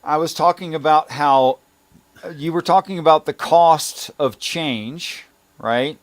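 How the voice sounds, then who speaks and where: A man's voice: fairly high pitch, measured pace, dry recording. A man in casual conversational debate as a participant in a talk show.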